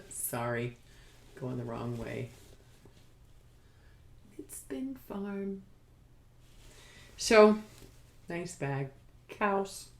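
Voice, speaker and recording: A woman hosting a casual craft podcast, rambling haltingly and sometimes trailing off as if talking to herself. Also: lowish voice, big pitch swings, vocal fry, a little mellow, slight background noise. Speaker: podcast host showing knitting and spinning.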